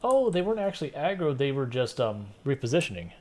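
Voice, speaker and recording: A man's voice: medium pitch, fast. Soothing, easygoing male streamer. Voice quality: soft, soothing voice. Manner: relaxed, rambling, many fillers and restarts. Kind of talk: casual gameplay stream chat.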